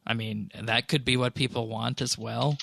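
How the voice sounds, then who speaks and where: A man's voice: mid-range voice, steady tone, normal speed, gravelly, pushed and bright, slight background noise. A man hosting a casual podcast discussion, talking things through as he thinks aloud. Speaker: podcast host.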